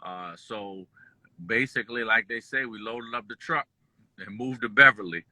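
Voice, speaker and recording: A man born in Kuwait and raised in Detroit, telling his life story as an interview guest in a relaxed, rambling way full of fillers. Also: lowish voice, normal speed, no room sound. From born in Kuwait, raised in Detroit and New Orleans. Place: at home, just pulled into driveway.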